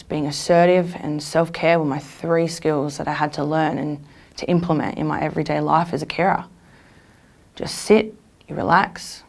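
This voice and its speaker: A woman's voice: low voice, creaky. A woman carer giving conversational advice drawn from her own experience.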